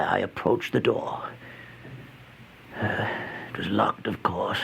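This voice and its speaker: An actor playing an exhausted, starving homeless man who recounts a horror tale in a halting, breathy, theatrical old-time radio style, often dropping toward a whisper. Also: fairly low pitch. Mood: furtive, tense. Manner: halting, slow, theatrical, anguished. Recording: noisy old radio recording.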